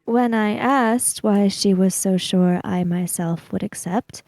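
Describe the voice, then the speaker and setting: A woman playing a formal, guarded samurai emissary in a tabletop roleplay, with deliberate and precise wording. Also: mid-range voice, a little mellow, no echo. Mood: reserved, measured.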